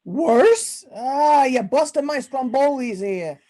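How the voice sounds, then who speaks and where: An irritable Italian-American mob boss acted by a woman for a radio comedy play. The voice is on the high side and swings a lot in pitch, with bossy, exasperated wiseguy talk. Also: animated, slow, dark tone, exaggerated voice. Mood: alarmed. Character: irritable tough Italian-American male mob boss, secret pizza lover. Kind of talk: amateur old-time radio comedy play.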